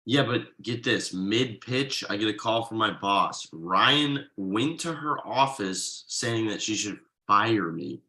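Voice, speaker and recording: A man's voice: lowish voice, expressive, takes it slowly, hardly any pauses, bright, forceful tone, exaggerated voice. A man playing an exasperated, wronged friend and young father in a comedy table read, stammering and incredulous in an emphatic, conversational way.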